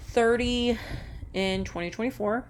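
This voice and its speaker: A woman's voice: on the high side, a little slow, a little hiss or hum. A woman in her late twenties chatting casually while sorting cash into budget envelopes, rambling and correcting herself with small laughs. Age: late 20s. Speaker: budgeting vlogger.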